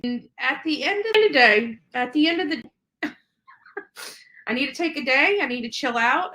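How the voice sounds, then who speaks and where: A woman's voice: fairly high pitch, sharp tone. A woman giving unscripted online commentary in a rambling, repetitive way that leans on stock phrases.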